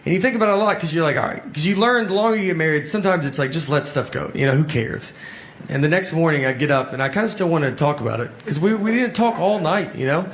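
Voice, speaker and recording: A man in his forties doing deadpan stand-up comedy, telling slow stories with acted-out dialogue on stage. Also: a little high. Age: 40s. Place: stage before a live audience. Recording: loud audience laughter behind.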